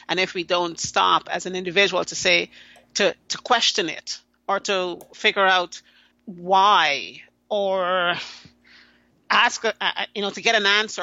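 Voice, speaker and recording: A woman's voice: on the low side, pushed and bright, no room sound, highs cut off. A woman from Guyana, a podcast guest, talking earnestly and often restarting her sentences. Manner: earnest, halting with many restarts. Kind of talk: conversational interview.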